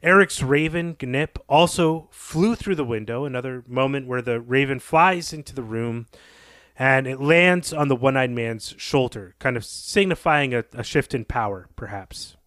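Male podcast co-host, mid-pitched, recapping fiction with commentary, very dry close sound. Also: normal speed, fairly bright tone, no echo.

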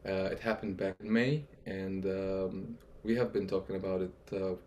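A man's voice: sits low, steady tone, moderate pace, warm tone, slight background noise. A man, a guest talking hesitantly with many fillers. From Egypt. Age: 30s. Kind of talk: casual interview talk.

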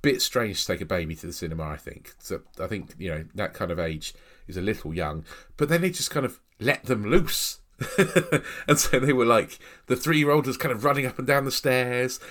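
A man's voice: mid-range voice, brisk, a bit bright. A man in his thirties with a cold, chatting casually and rambling as a podcast co-host. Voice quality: chatty, laughs often, rambling. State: has a cold, snuffly. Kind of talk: casual film podcast chat.

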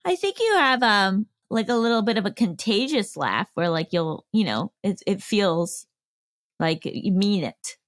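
A woman's voice: medium pitch, sing-song, a little slow. A woman, co-hosting a podcast with playful chat and frequent nervous laughter. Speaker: podcast co-host. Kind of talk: casual chat.

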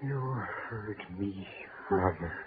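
A man's voice: sits low, animated. An actor playing the mournful ghost of a drowned young man in an old radio drama. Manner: slow, mournful. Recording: tinny old radio broadcast with hiss.

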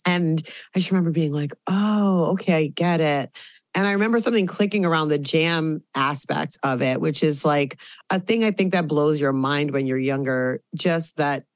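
A woman's voice: low-pitched, normal speed, no echo. A woman hosting a podcast, asking questions in a thoughtful, casual way with frequent "um"s. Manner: thoughtful, warm interviewer with frequent "um"s. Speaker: podcast host. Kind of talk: casual interview.

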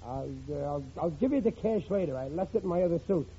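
A man plays a middle-aged, working-class bumbler of a husband and father in vintage radio comedy. His voice sits high but very muffled and dark, full of slangy bluster and stammered excuses. The old recording is dull with faint noise. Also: animated, quick pace, lightly acted. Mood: sheepish, evasive. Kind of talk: 1940s-50s radio situation comedy acting.

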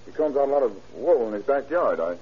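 An actor playing a defensive, shifty crime suspect who stammers out excuses in an old-time radio drama. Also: male voice, mid-range voice, big pitch swings, quick pace, close to an everyday voice. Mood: nervous, evasive. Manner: stammering protests and lame excuses. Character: evasive hit-and-run suspect, defensive and shifty man. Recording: muffled, noisy vintage radio recording.

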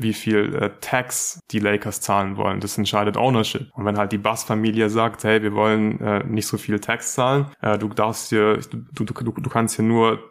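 Man, low voice, flat intonation, podcast co-host, casual sports analysis, blunt.

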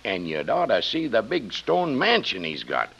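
An actor playing a good-natured, plain-spoken sheriff with a rural Western American accent in an old radio western. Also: male voice, on the low side, huge pitch swings, normal speed, vocal fry, a bit bright, slightly rough, heavily acted. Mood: friendly. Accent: rural American West. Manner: folksy, plain-spoken, drawling. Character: folksy, good-natured small-town Old West sheriff. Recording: dull old radio recording.

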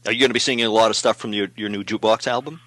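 A man's voice: lowish voice, steady tone, very fast, a bit bright, no room sound. A man hosting a radio interview, asking friendly questions and giving many short acknowledgements. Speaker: radio host. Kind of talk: casual interview chat.

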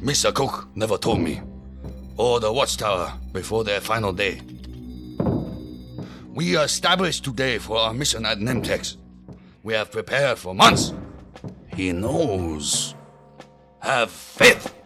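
A man's voice: lowish voice, lively intonation, takes it slowly, pauses often, music in the background. A man playing a stern, zealous leader of a secret faith group, solemn and commanding, in an audio drama. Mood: fervent, solemn. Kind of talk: science fiction audio drama acting.

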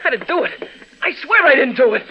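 High-pitched, frantic young suspect. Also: male voice, big pitch swings, fairly quick, sharp tone, heavily acted, rough recording. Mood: desperate, pleading. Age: young adult. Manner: slangy, stammering, pleading. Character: scared young male robbery suspect protesting his innocence. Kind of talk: old-time radio drama acting. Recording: old radio drama recording.

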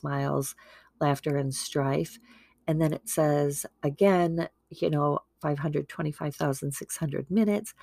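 A woman's voice: sits low, vocal fry, a little mellow, dry recording, clean studio sound. A woman hosting a podcast with a stuffy nose from a starting cold, talking about faith in a chatty, earnest way full of fillers and asides. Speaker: podcast host. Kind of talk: casual devotional talk.

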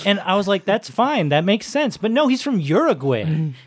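Male podcast co-host, slightly high voice, close dry sound, puzzled and exasperated, swears casually. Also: big pitch swings, moderate pace, dry recording. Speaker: podcast co-host discussing a movie. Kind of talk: casual, profane banter.

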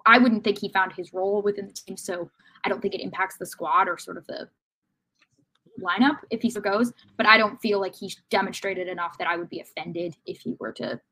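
A woman with a husky, rough-edged voice of medium pitch talks casually as a panelist on a sports podcast. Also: steady tone, brisk, bright, forceful tone, poor audio.

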